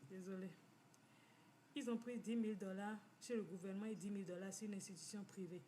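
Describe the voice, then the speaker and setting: A woman talks at a middle pitch and tells her own story. She stops and restarts often and repeats phrases as she goes. A faint hiss of noise sits behind her voice. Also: steady tone, mellow. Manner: repetitive, halting with many restarts. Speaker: community finance speaker. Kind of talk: personal testimony talk. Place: living in the United States.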